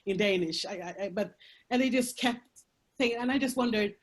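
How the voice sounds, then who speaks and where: A woman's voice: mid-range voice, normal speed. A woman whose first language is Swedish, speaking English with a Swedish accent, talking frankly and emphatically as a panel guest over a video call. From Sweden. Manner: frank and emphatic, swears, laughs through hard topics. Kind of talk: candid conversational talk.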